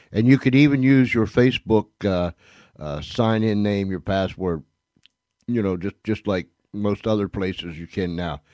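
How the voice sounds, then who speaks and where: A man's voice: low-pitched, a little mellow, no echo. A man hosting a radio show, making casual, unscripted announcements to listeners in a rambling, repetitive way.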